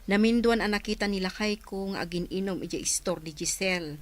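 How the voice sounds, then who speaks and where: A woman acts out a married villager in a radio comedy drama. She is concerned and easily astonished. Her pitch is middling for a woman. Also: brisk, natural voice. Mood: confiding.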